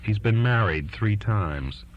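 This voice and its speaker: A man's voice: lowish voice, expressive, takes it slowly, slight background noise. A man reading as an earnest, defiant twenty-year-old daughter writing to her father, pleading and insistent, on a lo-fi recording. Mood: frank, insistent. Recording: dull lo-fi recording.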